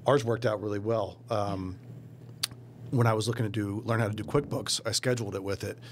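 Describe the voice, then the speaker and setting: A man in his forties hosting a podcast, casual and blunt, swearing now and then, on a boom mic in a small studio. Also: steady tone, brisk, slight background noise. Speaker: podcast host. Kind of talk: casual chat. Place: small studio with painted mural. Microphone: boom mic on arm.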